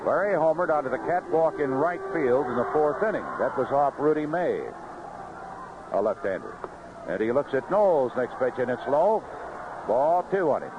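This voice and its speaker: A man doing live radio play-by-play of a baseball game, conversational and folksy between the calls. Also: mid-range voice. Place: outdoor ballpark broadcast booth. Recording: dull, noisy vintage 1970s radio broadcast.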